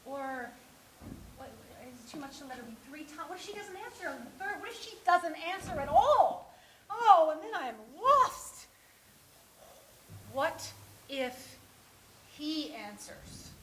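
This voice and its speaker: An actress playing an anxious, clumsy, lovelorn woman in a theatrical monologue, halting and scolding herself with dramatic swings. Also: sits high, lively intonation, crowd noise. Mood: nervous, flustered. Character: anxious, clumsy, lovelorn mistress rehearsing a dreaded phone call. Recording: echoey room with faint noise.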